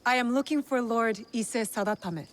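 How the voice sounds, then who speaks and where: A woman's voice: on the high side, moderate pace, a little hiss or hum, close to an everyday voice. An actress playing a determined young female warrior bent on revenge, terse and direct in a dramatic game cutscene. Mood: resolute, guarded. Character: determined young female warrior set on avenging her father.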